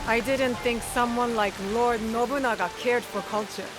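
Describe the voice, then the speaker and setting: A woman acts out a determined young woman in disguise in a game cutscene. Her voice sits a little high, and she is composed, direct and probing, with clear music behind her. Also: fairly even intonation, normal speed, natural voice. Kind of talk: video game cutscene acting.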